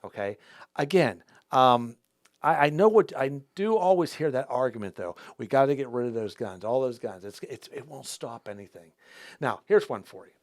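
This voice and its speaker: A man hosts a talk show, his pitch swinging up and down as he restarts and repeats words. The sound is dry and close to the mic, with no room around it. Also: lively intonation, moderate pace, dry recording. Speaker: host. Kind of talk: casual interview talk.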